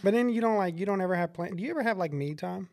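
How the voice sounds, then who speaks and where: A woman's voice: mid-range voice, rapid-fire, a little mellow. A woman in her thirties hosting a casual podcast, chatty and teasing, asking her friend questions. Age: 30s. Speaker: podcast host.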